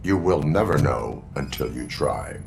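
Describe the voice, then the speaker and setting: A man playing a stoic cyborg police officer in a video game, instructing in a formal, deadpan, measured way. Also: a bass voice, vocal fry, noisy background, exaggerated voice.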